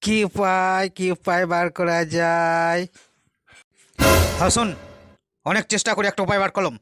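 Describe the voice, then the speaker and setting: A man's voice: high-pitched, steady tone, moderate pace, a bit bright, clear, resonant tone, faint music behind. A man voicing a sly, self-assured fake holy man in a comic cartoon, with a condescending manner. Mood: sly, commanding. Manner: commanding, condescending guru talk.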